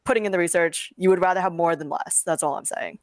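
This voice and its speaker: A woman's voice: lowish voice, quick pace, no room sound, studio quality. A woman webcomic creator talking informally and emphatically in a casual panel discussion, giving her opinions.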